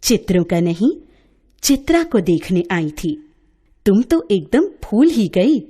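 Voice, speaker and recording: A woman's voice: medium pitch, very animated, slow pace, dark tone, slightly rough, full character voice. A woman voicing an affectionate, teasing young woman, a loyal friend in a storybook tale.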